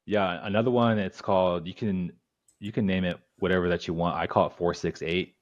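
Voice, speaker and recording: A man coaching breathwork as a podcast guest, calmly guiding listeners through breathing exercises in short instructive phrases. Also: low voice, brisk, dry recording, lo-fi sound.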